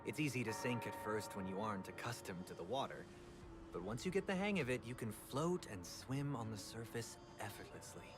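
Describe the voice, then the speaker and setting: A man playing a courteous, self-assured gentleman guide in a video game, formal and given to explaining. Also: on the low side, lively intonation, brisk, natural voice. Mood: instructive. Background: game music.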